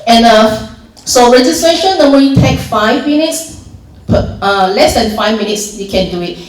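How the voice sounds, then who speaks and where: A woman with a Malaysian accent walks volunteers through a training session in an informal, step-by-step way, often restarting her sentences and filling pauses. She sounds set back from the microphone, with the echo of a roomy hall around her. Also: a little high, animated. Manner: explains steps plainly, many restarts and fillers. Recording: echoey room from far off.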